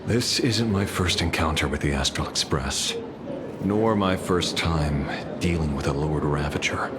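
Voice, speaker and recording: An actor playing a grave, enigmatic leader of rangers in a video game, speaking in a slow, measured way. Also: male voice, very deep voice, background noise. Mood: grave, reflective.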